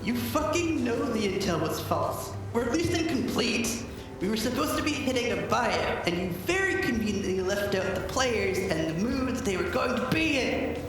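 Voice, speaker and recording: Woman acting out a swearing mercenary boss, lively pitch, echoey and distant, music under. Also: moderate pace. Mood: angry. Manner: furious, swearing, accusing. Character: angry tall female mercenary leader. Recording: roomy echo, distant from mic, music behind.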